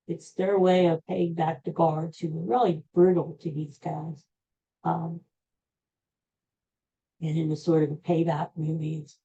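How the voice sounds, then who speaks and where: Middle-aged american lady rambling casually on her podcast, slightly low mellow voice, kinda folksy. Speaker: podcast host. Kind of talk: casual rambling solo chat. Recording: dull lo-fi recording.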